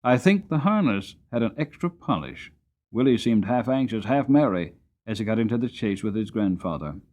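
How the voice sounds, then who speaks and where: A man narrating an audiobook story in the first person, with a dramatic, gentle storytelling manner. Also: fairly low pitch, lively intonation, moderate pace.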